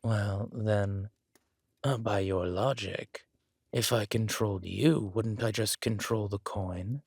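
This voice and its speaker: A man playing a menacing, weary villain king in an audio drama, speaking with quiet, measured, dry-witted menace. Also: fairly low pitch, steady tone, breathy edge, no room sound, heavily acted. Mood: dryly restrained.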